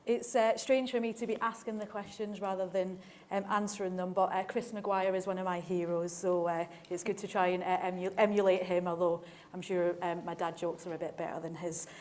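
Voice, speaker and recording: A woman's voice: on the low side, flat delivery, brisk, a little mellow. A woman in her forties chairing a live panel discussion, chatty and jokey with lots of ums and uhs. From not from Manchester. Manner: chatty, jokey, with frequent fillers.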